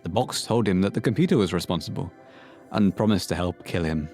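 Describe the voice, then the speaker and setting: A man narrates a scripted horror story. His voice is on the low side, with faint music underneath. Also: a little fast, a little mellow.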